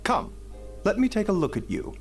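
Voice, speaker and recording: A gentle, scholarly male doctor in a video game. The voice sits fairly high and swoops dramatically in pitch, with measured, articulate musing. Soft music runs behind. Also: huge pitch swings, heavily acted. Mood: pensive, examining. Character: gentle, courteous, thoughtful male physician.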